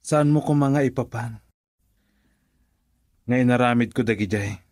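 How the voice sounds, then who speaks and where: A man's voice: mid-range voice, measured pace, a little mellow, no room sound, studio quality, natural voice. A man acting a middle-aged family friend, a tender and patient suitor in a radio drama, with a Filipino accent. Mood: earnest, confessing.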